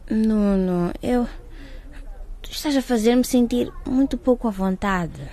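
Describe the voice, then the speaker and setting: A woman's voice: a little high, slow, dark tone. A young woman playing a teenage girl who is uneasy and hesitant in a radio drama.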